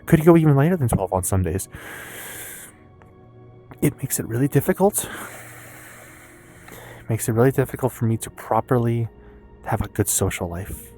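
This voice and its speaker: A woman in her early twenties with an American accent talks candidly and casually in a confessional personal vlog, rambling haltingly with pauses and some swearing. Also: rumbling low, lively intonation, warm tone, faint music behind. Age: early 20s. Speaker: vlogger and streamer.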